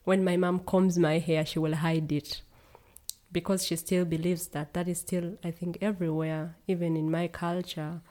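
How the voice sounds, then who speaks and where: A woman's voice: lowish voice, little pitch movement, normal speed, warm tone. A young woman from Uganda, a guest in a casual conversation, speaking earnestly and laughing easily.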